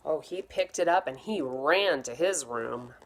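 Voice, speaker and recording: A woman teacher reads aloud to young children at a very unhurried pace. Her voice sits a little low, and her delivery is gentle and expressive, speaking straight to her listeners. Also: slight background noise. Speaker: teacher reading aloud to pupils.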